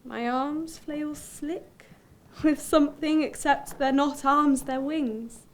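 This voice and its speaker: A woman playing a haunted, introspective character who recounts a dream in deliberate, broken phrases, as a poetic dramatic monologue. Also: high voice, steady tone. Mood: uneasy, intense.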